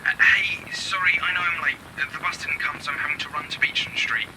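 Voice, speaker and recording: A man's voice: fairly high pitch, steady tone, fairly quick, background noise, natural voice. A young man acting in an audio drama as an eager, persuasive friend with a coaxing way of talking. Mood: rushed, apologetic.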